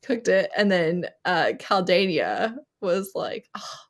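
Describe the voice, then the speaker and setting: A woman's voice: fairly low pitch, very animated, takes it slowly. A woman talking casually as a panelist in a live book club chat.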